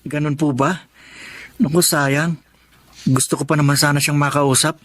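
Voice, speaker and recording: Man acting as earnest young Filipino man, higher-pitched voice. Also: level tone, moderate pace, a bit bright, close to an everyday voice. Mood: earnest, warm. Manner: earnest, polite, deferential. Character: hardworking, polite young orphan man seeking work. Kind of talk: Tagalog radio drama acting.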